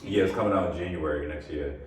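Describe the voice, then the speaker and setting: A man's voice: low voice, fast talker. A man hosting a podcast, chatting casually and telling stories with lots of laughter. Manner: animated storyteller, laughs often. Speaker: podcast host. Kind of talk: casual chat. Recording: echoey room from far off, faint noise.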